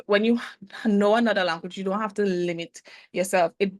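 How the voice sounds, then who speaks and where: A woman's voice: medium pitch, no echo. Woman guest, medium voice, thoughtful and hesitant, dry recording. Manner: thoughtful, hesitant, many "um" pauses. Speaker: business consultant, interview guest. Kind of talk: casual conversational interview.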